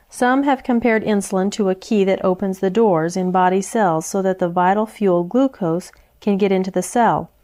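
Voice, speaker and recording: Measured woman presenting a health lecture. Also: mid-range voice, warm tone. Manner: measured, explanatory.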